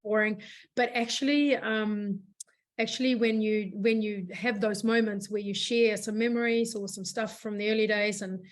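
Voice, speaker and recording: A woman with a hoarse voice talks as a guest founder in a relaxed interview. She rambles, circles back and restarts her sentences often, at a middling pitch. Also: moderate pace. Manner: rambling, many fillers and restarts. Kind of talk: casual interview chat.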